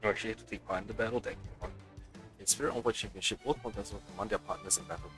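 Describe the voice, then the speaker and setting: A man's voice: lowish voice, steady tone, rapid-fire, fairly bright tone. A man giving casual let's play commentary as a game streamer, blunt and sardonic, sometimes reading game text aloud. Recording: game music behind voice.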